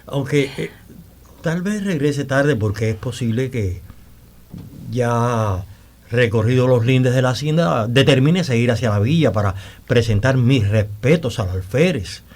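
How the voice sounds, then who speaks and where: An actor as a devout young gentleman of a colonial estate, courteous and sure of himself. His voice sits at a middle pitch, formally phrased as in a radio drama, with faint ambience behind. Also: animated, measured pace, slight background noise, natural voice. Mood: self-assured. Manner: formal, self-assured period speech.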